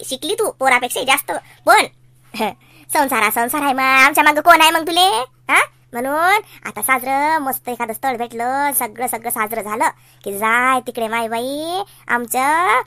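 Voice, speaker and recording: A woman's voice: sits high, sharp tone, no room sound. A woman voicing a fretful, doting middle-aged mother in a cartoon comedy, with a Varhadi Marathi accent and a homely, chiding way of talking. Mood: earnest persuasion.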